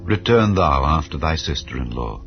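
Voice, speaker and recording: A man reading the lines of Naomi, a grieving aged widow, in slow, solemn biblical speech. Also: rumbling low, animated, creaky, exaggerated voice. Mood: lamenting. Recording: slightly dull, soft music behind.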